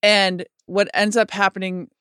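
A woman with a lower voice than most women, speaking candidly in a conversation with many likes and restarts. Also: little pitch movement, measured pace, bright, forceful tone, clear, resonant tone, no echo, studio quality. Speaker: guest sharing her experience.

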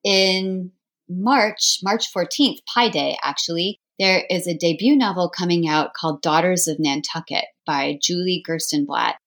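A woman's voice: on the low side, a little slow, fairly bright tone. A woman chatting casually as an interview guest, recommending books in an effusive, emphatic way.

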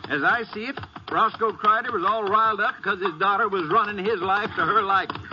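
An actor playing a folksy, plain-spoken Texas sheriff in a 1950s radio western, with an American accent, on an old radio recording. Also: male voice, high voice, brisk, lo-fi sound, noisy background, lightly acted. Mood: skeptical, plain-spoken.

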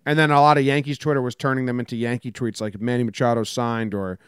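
A man's voice: medium pitch, flat delivery, fast talker, clear, resonant tone, no room sound. A man co-hosting a sports podcast, trading sarcastic banter and reading offbeat news headlines with dry jokes. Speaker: podcast co-host. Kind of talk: casual sports chat and jokey news rundown.

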